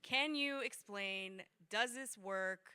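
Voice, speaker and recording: A woman hosting an interview, asking curious questions in a soft, easy way. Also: mid-range voice, takes it slowly, clean tone, dry recording. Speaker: interviewer host. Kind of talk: hosted interview.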